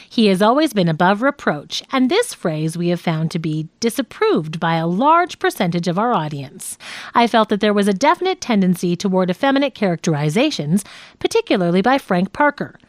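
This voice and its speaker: A woman narrating a nonfiction audiobook in a measured, expressive reading voice. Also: medium pitch, dry recording.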